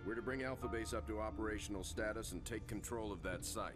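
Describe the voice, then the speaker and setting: An actor playing a middle-aged military starship captain who gives clipped, commanding orders. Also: male voice, on the low side, quick pace, over loud music, close to an everyday voice. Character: stern, weathered male military starship captain. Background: cinematic score.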